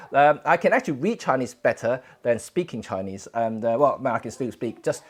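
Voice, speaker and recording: A man from Hong Kong, a Cantonese native speaker, hosting a casual photography livestream from home, talking in a halting way with many fillers and self-corrections. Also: mid-range voice. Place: home, indoors. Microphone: camera setup for streaming.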